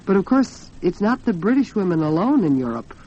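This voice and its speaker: Lo-fi 1940s American radio hostess. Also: female voice, medium pitch, big pitch swings, fairly quick, dark tone. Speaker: radio program host. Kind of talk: 1940s radio women's talk program, commentary and sponsor read. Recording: dull lo-fi 1940s radio recording.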